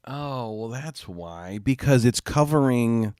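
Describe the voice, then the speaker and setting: A man's voice: medium pitch, expressive, slow, a little mellow, clear, resonant tone, no echo, studio quality. A man with a cold and a stuffy, congested nose, hosting a live gaming stream in a rambling, apologetic way. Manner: rambling and apologetic, many fillers and restarts. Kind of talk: casual live-stream chat. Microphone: home streaming mic.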